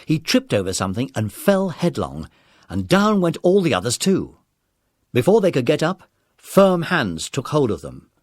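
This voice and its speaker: A man narrating a children's adventure story in a measured, dramatic storytelling manner. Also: medium pitch, huge pitch swings, dry recording, studio quality.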